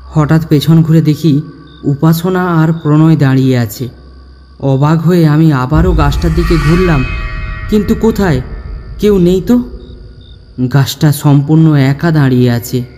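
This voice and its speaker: Male actor for a young, curious, scared horror-cartoon narrator; mellow, dramatic, heavy reverb. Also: fairly high pitch, a little slow, long pauses. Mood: uneasy. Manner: suspenseful, dramatic delivery. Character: young man, frightened, curious narrator of a ghost story. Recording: long reverb, music underneath.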